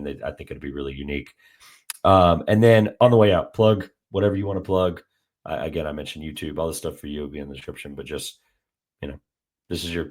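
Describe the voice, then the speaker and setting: A man hosting a casual sports podcast, his voice a little raspy from days of talking, rambling with many fillers and restarts. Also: very deep voice. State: slightly raspy from heavy talking. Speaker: podcast host.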